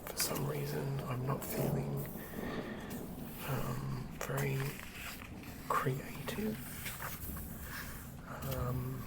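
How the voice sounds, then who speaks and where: An ASMR host speaking in a soft, breathy whisper, gently and quietly sharing tabletop fantasy material. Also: mid-range voice, heavy background noise. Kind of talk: whispered ASMR, chatting and reading aloud from a game manual.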